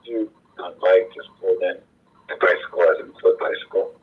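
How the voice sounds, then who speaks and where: A man's voice: low voice, fairly even intonation, normal speed, slightly rough. A man working as a police officer, talking in clipped phrases over a tinny police radio. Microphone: two-way radio.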